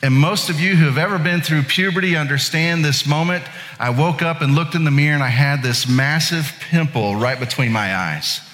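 Pastor guy from indiana, late 30s, crisp bright voice, long church echo, chatty and self-deprecating. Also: on the high side, normal speed, hardly any pauses. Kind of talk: casual storytelling sermon. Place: church sanctuary. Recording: church hall with long reverb.

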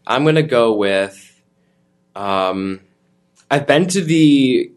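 A young male student chatting casually, relaxed and hesitant, with lots of fillers. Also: mid-range voice, takes it slowly, smooth. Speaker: student panelist. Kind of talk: casual chat.

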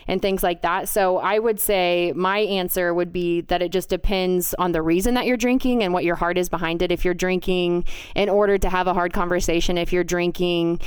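Young woman co-host, lower-pitched and very clean tone, dry close sound, earnest chatty delivery. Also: steady tone, moderate pace, hardly any pauses, clear, resonant tone, dry recording. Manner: laughs easily, earnest when explaining. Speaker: podcast co-host. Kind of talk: casual podcast chat.

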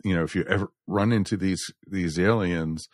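A man with a deep voice, captured very dry and close. He chats casually as one of a podcast review panel, sharing opinions in an informal way. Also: moderate pace, dry recording. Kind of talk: casual chat.

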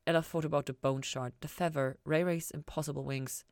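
A woman's voice: sits low, steady tone, brisk, dry recording, clean studio sound. A woman reading scripted fiction aloud as a narrator. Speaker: fan fiction reader, podcast host.

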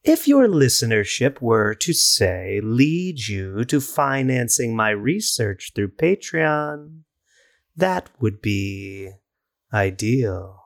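An actor playing a sinister, falsely soothing doctor who croons endearments in a patronizing sing-song. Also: male voice, medium pitch, very animated, slow. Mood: smug. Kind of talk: horror audio drama acting.

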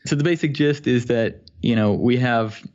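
A man's voice: mid-range voice, moderate pace, darkish tone, muffled recording. A man speaking as a podcast guest, explaining things in an informal, casual tech-chat way. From Sweden.

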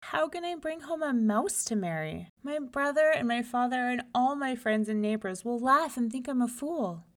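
An earnest young farmer, tender and sincere about his love, as a woman reader performs him. The voice sits a touch higher than the narrator's own, lively storybook telling. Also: moderate pace, few pauses. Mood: worried.

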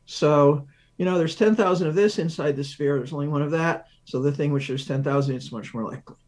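A man with a fairly high voice gives a science explanation as an interview guest. He thinks aloud, setting up questions and then working through them with examples. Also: fairly quick, darkish tone. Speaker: research professor, interview guest.

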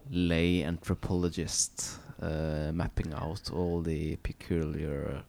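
Man, podcast co-host, very deep voice, halting and teasing delivery. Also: flat delivery, gravelly, darkish tone, slight background noise. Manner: teasing, hesitant, full of fillers. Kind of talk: casual chat.

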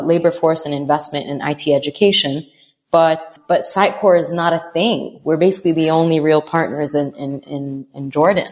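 A woman from the Middle East, a company CEO, answering interview questions fluently, often restarting and repeating words. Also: deep voice. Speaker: podcast guest, company CEO.

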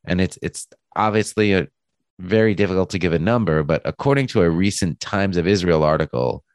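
A hoarse man with a cold, hosting a podcast from his home studio, talking in an earnest, thoughtful way with frequent restarts. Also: low-pitched, a little fast, dry recording. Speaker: podcast host. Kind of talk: podcast introduction.

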